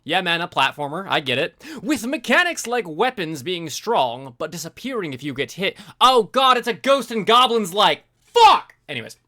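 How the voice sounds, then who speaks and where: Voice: mid-range voice, big pitch swings, moderate pace, few pauses, bright, forceful tone. A streamer reading a review aloud in a casual live commentary style, breaking off for informal, sweary asides.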